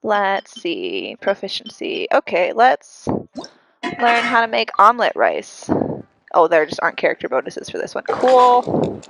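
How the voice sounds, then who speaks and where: A woman's voice: mid-range voice, huge pitch swings, unhurried, vocal fry, background noise. A congested-sounding woman giving giggly, chatty, self-deprecating commentary while livestreaming a game. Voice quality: congested, audible breathing. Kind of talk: casual livestream gaming commentary. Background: video game music.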